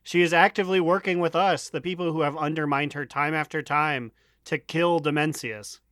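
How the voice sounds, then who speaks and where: A man's voice: a little high, steady tone, moderate pace, fairly bright tone, no echo, studio quality. A man co-hosting a gaming podcast, chatting casually with wry, jokey commentary. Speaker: podcast co-host. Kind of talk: casual chat about a video game.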